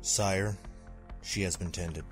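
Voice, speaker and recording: A man's voice: sits low, fairly even intonation, slow. A man playing a loyal, stern royal guard in an audio drama, formal and commanding with clipped phrasing. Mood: solemn. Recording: faint music behind the voice.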